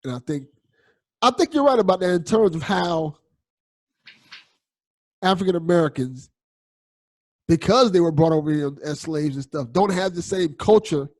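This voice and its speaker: A man's voice: fairly high pitch, expressive, normal speed, long pauses. A young man hosting a casual podcast chat, agreeable and thoughtful, often restarting his sentences. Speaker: podcast host.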